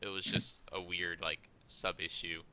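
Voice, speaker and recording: Sharp, very bright-voiced argumentative man. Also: deep voice, little pitch movement, a little slow. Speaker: podcast co-host. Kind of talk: casual movie debate.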